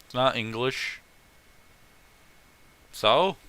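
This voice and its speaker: A man reading fan fiction aloud as host, breaking off for animated, opinionated and profane casual commentary. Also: medium pitch, very slow, clear, resonant tone.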